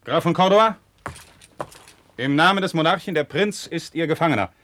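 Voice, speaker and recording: A man's voice: on the high side, lively intonation, moderate pace, a little hiss or hum. An actor playing a resolute, commanding nobleman in a declamatory 1950s German classical radio drama style. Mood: alarmed, commanding. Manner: declamatory, imperious, forceful. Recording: dry 1950s radio studio recording.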